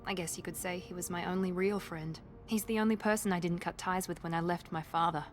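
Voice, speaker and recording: A woman's voice: fairly low pitch, fairly even intonation, a little fast, soft background music, lightly acted. An actress voicing a proud, guarded woman who is fiercely protective of her sister, speaking with controlled intensity in a video game. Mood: earnest, defensive.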